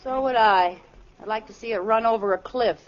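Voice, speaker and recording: An actress playing a playful, catty housewife in a 1940s radio comedy, teasing and sarcastic. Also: female voice, a little high, brisk, lightly acted. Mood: catty, jealous. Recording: muffled old radio recording, heavy noise, audience.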